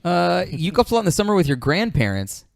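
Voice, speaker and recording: A man's voice: high-pitched, sing-song, fast talker, gravelly, dry recording. A man hosting a casual gaming podcast, joking around with slang and swearing. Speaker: podcast host.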